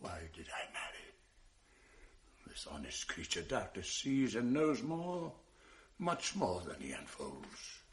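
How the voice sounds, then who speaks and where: A tormented older general: low, unhurried classical verse in a radio drama, weighty with bursts of anguish. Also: male voice, very animated, a little hiss or hum. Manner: brooding, slow and weighty, flaring into anguish. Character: proud tormented general, jealous husband.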